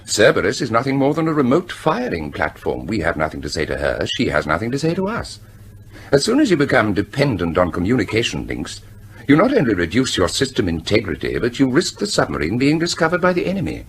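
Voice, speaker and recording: Unrepentant weapons scientist, British, lowish voice, very mobile pitch, precise, detached, radio play. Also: male voice, wildly swooping pitch, fairly quick, slight background noise. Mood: matter-of-fact. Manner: precise, detached, self-assured. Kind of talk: radio drama acting.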